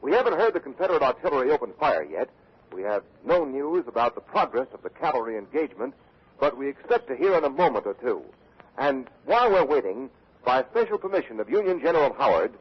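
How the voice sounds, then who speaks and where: An actor playing a brisk radio correspondent, formal in his reporting and dry in his questions, in 1940s drama style. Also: mid-range voice, breathy edge. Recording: muffled old 1940s radio recording.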